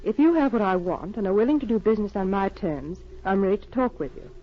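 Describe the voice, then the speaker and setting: An actress playing a cool, businesslike secret agent in 1940s radio drama style, speaking frankly and crisply. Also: female voice, mid-range voice, lively intonation, quick pace, close to an everyday voice. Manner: crisp, frank, clipped sentences. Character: cool, businesslike female secret agent posing as arms buyer. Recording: dull, noisy old radio recording.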